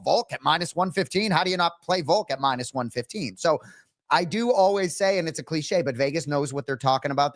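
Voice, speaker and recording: A man co-hosting a casual sports talk show, rambling and animated, a little nasal from a cold, tagging his points with "right?". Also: medium pitch. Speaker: co-host. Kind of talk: casual sports radio chat.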